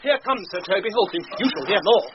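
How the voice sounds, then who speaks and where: An actor playing a foolish, cowardly, whining gentleman, fretful and plaintive in a comic Shakespearean radio drama. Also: high voice, lively intonation, fast. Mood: aggrieved whining. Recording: dull, faintly noisy radio broadcast.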